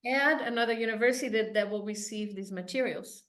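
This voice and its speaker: A woman's voice: mid-range voice, fast talker, fairly bright tone. A woman from Brazil, Portuguese her first language, hosting an interview and affirming her guest often. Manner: encouraging, affirming with frequent mm-hmms. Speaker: interview host. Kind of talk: casual interview.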